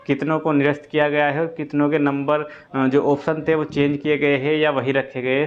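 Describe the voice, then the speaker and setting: Male presenter, fairly high pitch, informal repetitive explainer delivery, dull low-fidelity sound. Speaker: exam-update presenter for students. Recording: dull lo-fi audio.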